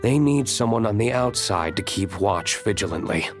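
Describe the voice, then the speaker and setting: Actor doing a stern young nobleman for a game; low, clipped, serious, never warm. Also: animated, music in the background, natural voice. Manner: curt, serious, measured. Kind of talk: video game voice acting.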